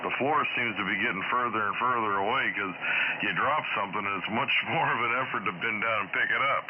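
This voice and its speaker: An older man chatting casually as a radio operator, telling stories in a rambling, halting way over a ham radio transmission. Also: lowish voice, dull audio, noisy background. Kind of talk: casual on-air chat, storytelling. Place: heard over shortwave radio.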